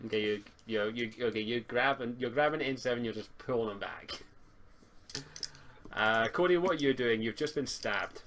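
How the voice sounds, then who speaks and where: A man's voice: medium pitch, moderate pace, fairly bright tone. A man acting as game master, narrating a tabletop roleplaying game in an informal, improvised way. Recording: noisy home mic with clicks.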